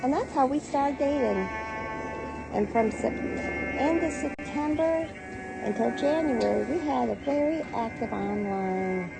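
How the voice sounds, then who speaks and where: A woman's voice: high-pitched, animated, measured pace, low-quality recording. American woman, playful storyteller over music. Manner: playful, sassy, acts out dialogue. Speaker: storyteller, online content creator. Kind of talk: casual personal storytelling to camera. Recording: music playing behind the voice. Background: clear background music.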